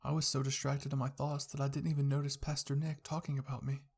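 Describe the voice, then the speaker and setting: Narrator for scripted ASMR roleplay fiction; raspy voice with fry. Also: mid-range voice, flat delivery, quick pace, a little mellow. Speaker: narrator reading fan fiction.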